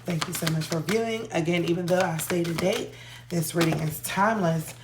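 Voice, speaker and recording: Female tarot host, hoarse, easygoing and chatty; lowish voice, roomy sound with faint hiss. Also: moderate pace. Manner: relaxed, chatty, with frequent ums. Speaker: tarot reader. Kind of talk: casual tarot reading to viewers.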